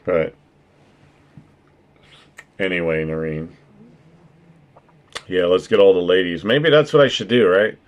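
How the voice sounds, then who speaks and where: A deep-voiced man hosting a laid-back live stream, talking straight to his chat. He jokes around, swears freely and breaks into laughter often. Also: slow, long pauses, dull audio. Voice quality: naturally deep voice. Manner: joking and profane, laughing often. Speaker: streamer and podcast host.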